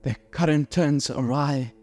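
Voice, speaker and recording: An actor playing a melancholy, brooding prince in a theatrical Shakespearean monologue, speaking deliberately with dramatic pauses. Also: male voice, fairly high pitch, darkish tone, soft background music. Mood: troubled, resigned.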